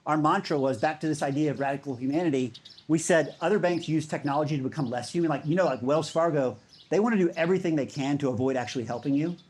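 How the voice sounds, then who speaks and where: A man's voice: on the high side, fast talker, a little mellow. A man giving a conference talk in a casual, enthusiastic way, with many fillers. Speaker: speaker.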